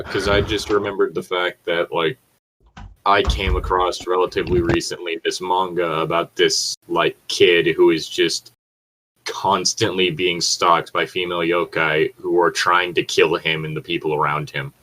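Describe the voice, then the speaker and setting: Low-voiced man rambling casually. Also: little pitch movement, a little slow, sharp tone, slight background noise, low-quality recording. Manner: rambling, freely swearing. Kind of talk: casual chat.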